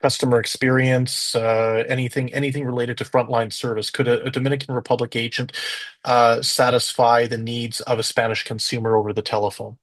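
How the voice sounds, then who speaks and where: A man's voice: mid-range voice, level tone, a little fast, no room sound. Man asking interview questions. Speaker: podcast host, interviewer.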